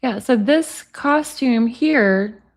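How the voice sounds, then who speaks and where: Slightly high-voiced woman chatting on a livestream. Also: very slow. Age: 40s. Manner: chatty, talks to viewers in the comments. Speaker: livestream host and commentator. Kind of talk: casual livestream chat, reading texts aloud.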